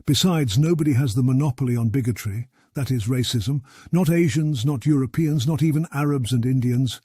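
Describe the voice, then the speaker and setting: A man from Africa narrating a scripted commentary video in a measured, formal, argumentative way. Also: mid-range voice, mellow, slightly rough, dry recording, clean studio sound.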